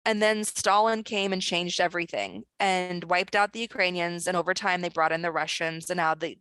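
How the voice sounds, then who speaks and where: A woman author and screenwriter speaking as a guest in an online discussion, talking in an earnest, urgent way with quick restarts. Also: medium pitch, a bit bright, no echo. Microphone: video call.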